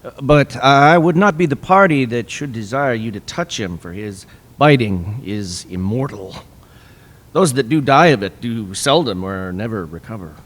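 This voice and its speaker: A man's voice: medium pitch, lively intonation, normal speed, natural voice. In a reverberant hall, a droll country fellow played by a man talks in a middling male register. He is homely, hesitant and cautionary, in Shakespearean stage style. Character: rustic countryman, chatty and cautionary snake-bearer. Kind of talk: classical Shakespearean stage acting.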